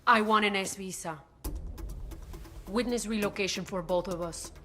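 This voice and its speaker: A woman's voice: mid-range voice, normal speed, fairly bright tone, faint music behind, natural voice. A woman playing a defiant, curt cartel lieutenant. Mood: guarded, demanding. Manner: curt, demanding. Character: defiant, guarded female cartel lieutenant under interrogation. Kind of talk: video game cutscene acting.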